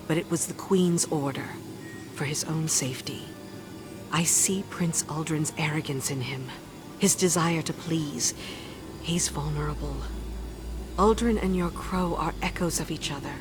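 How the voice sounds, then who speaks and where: Woman acting a hard no-nonsense army commander in a game, deep for a woman, loud music behind her. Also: natural voice. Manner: firm, clipped, severe. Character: stern female military commander, guarded and unyielding. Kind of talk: video game dialogue acting.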